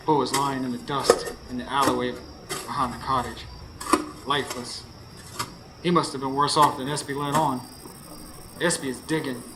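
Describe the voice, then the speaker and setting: A man's voice: medium pitch, close to an everyday voice. A man playing a grieving, frightened narrator in a live radio horror drama, telling it dramatically in the first person. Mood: somber, uneasy. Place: studio before live audience. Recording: noisy live radio stage recording.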